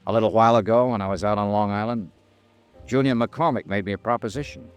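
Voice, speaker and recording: An actor playing a cool, tough American insurance investigator with a clipped, dry delivery in a 1950s radio detective drama. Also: male voice, on the low side, fairly even intonation, quick pace, smooth, close to an everyday voice. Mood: accusing, cool. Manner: clipped, hard-boiled, dry. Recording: 1950s radio recording with music.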